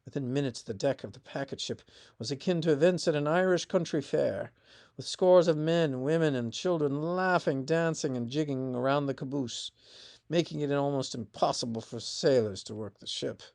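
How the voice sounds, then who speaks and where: A man narrating a scripted piece of family history as its author, in a measured reading with emphatic phrase openings that fall away. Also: a little high, vocal fry, darkish tone. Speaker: author reading own essay.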